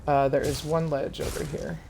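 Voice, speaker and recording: Calm gravelly man leading a game raid. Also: fairly high pitch, moderate pace, a little hiss or hum, rough recording. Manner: calm, practical, explains tactics step by step. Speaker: raid leader. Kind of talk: instructing players during a game stream.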